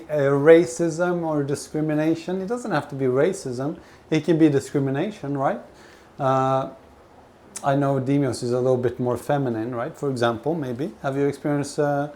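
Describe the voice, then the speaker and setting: A man from Sweden chatting casually on a livestream from his kitchen, telling personal stories in a rambling, halting, sweary way. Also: on the high side, darkish tone. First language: not Swedish; grew up with several languages. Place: home kitchen while cooking. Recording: clear kitchen noise, frying food. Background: frying food, kitchen ambience.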